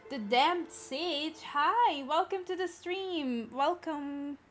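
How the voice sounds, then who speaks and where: A woman's voice: high-pitched, slow pace, soft background music. Chatty Flemish-accented woman streamer. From Belgium. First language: Dutch (Flemish). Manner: warm, chatty greetings to viewers. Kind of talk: casual live-stream chat.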